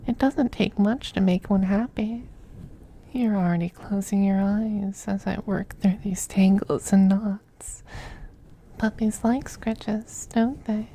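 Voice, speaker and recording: Man voicing a soft, high-pitched, slow-talking femboy vampire. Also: steady tone, heavily acted. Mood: coaxing, doting. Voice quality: soft, breathy, often whispering. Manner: slow, coaxing, condescendingly affectionate. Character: possessive, teasing, doting femboy vampire master styled as mistress. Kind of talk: intimate ASMR roleplay.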